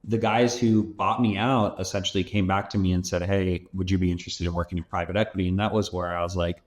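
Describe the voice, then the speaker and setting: Chill low-voiced dude from LA, thirties, says "like" a lot, kinda rambly. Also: male voice, flat delivery, fast. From Los Angeles, USA. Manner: rambling and loose, heavy use of "like" and "um". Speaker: venture capital investor, guest. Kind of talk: casual interview talk.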